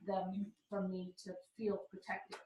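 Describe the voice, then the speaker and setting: A woman's voice: mid-range voice, monotone, unhurried, darkish tone, distant mic. Earnest woman, roomy and off-mic. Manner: earnest, heartfelt. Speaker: group member. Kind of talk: personal sharing.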